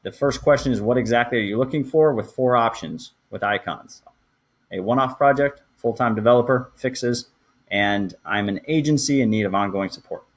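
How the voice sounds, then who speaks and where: A man in his thirties hosting a show, talking through things step by step in a conversational, explanatory way. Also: medium pitch. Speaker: podcast host. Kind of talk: conversational walkthrough for a marketing show.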